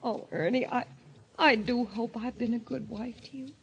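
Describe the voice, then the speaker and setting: A woman's voice: fairly high pitch, fairly even intonation, normal speed, creaky, husky, full character voice. An actress playing a sweet, trusting wife in a golden age radio mystery, earnest and exclamatory in her delivery. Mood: overwhelmed, grateful. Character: sweet, gushing, trusting wife, a former nurse. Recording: muffled vintage radio recording.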